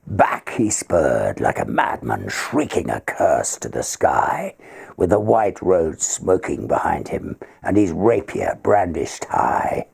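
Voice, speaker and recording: A man with a gravelly, husky voice reading stories and verse aloud in a theatrical, expressive manner. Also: sits low, slow. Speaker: ghost story reader. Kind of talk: dramatic literary reading, verse and prose.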